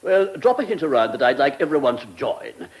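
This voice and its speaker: A man's voice: mid-range voice, big pitch swings, a little fast, breathy edge, low-quality recording. A man playing a pompous, pedantic British government minister in a radio comedy drama, fussy and self-important. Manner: pompous, pedantic, hesitant asides.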